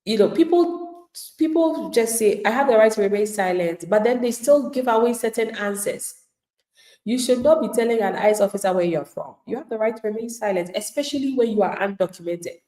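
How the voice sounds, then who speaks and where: Emphatic woman lawyer, mellow echoey sound. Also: medium pitch, animated, a little fast. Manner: emphatic, plain-spoken, addresses viewers directly; acts out quoted replies of people she describes. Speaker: immigration attorney. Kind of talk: live-streamed legal advice talk.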